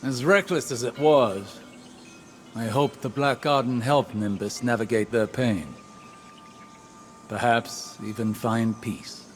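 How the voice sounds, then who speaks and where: An actor playing a wise, measured sorcerer-scholar, speaking calmly and deliberately in video game dialogue. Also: male voice, mid-range voice, natural voice. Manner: calm, deliberate and grave. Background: game score music.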